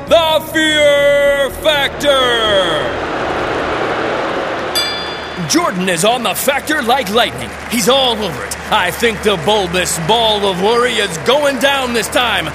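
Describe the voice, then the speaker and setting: A man playing an excited, over-the-top wrestling ring announcer, calling a match with bombastic, drawn-out delivery. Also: very high-pitched. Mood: grand announcing. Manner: bombastic, drawn-out introductions and shouting.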